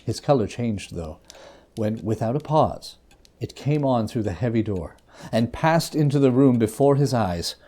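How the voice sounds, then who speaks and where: A male storyteller with a mellow voice and a very lively melodic range. He reads classic ghostly fiction dramatically, leaning theatrically into his phrases. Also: mid-range voice, unhurried. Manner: theatrical, expressive, emphatic phrasing. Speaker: reader.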